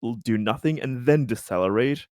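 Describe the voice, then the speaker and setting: A man with an average-pitched voice talks casually as a player at a tabletop game. He sounds tentative, working out options aloud, recorded close and dry. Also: normal speed, darkish tone, clean tone, dry recording.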